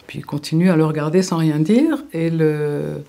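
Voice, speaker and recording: A woman's voice: sits low, normal speed, vocal fry, mellow. An older woman from Alsace, a former teacher, giving reflective interview answers, hesitant and often restarting as she searches for words. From Alsace, France.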